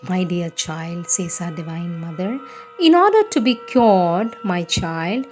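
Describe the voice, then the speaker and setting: Woman reading devotional text with lively pitch. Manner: slow, reverent, measured reading. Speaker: reader.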